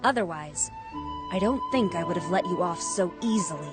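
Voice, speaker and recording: An actress playing a stern female police superior in a video game, composed and commanding, with formal, measured phrasing. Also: lowish voice, animated, over music, close to an everyday voice. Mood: stern, reproving. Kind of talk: scripted game voice acting.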